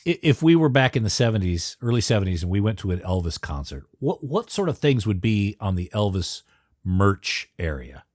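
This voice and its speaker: A man hosting a podcast with a low voice that moves up and down in a lively, animated way. He keeps his questions short and prompting, and the sound is dry and close. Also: moderate pace, dry recording. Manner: asks brief, prompting questions. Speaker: podcast host. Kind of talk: casual interview chat.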